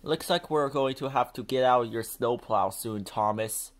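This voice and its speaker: A patient, practical train driver from a children's storybook, read by a man. He speaks kindly and coaxes gently. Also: medium pitch, unhurried, lightly acted. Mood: coaxing. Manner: gently coaxing.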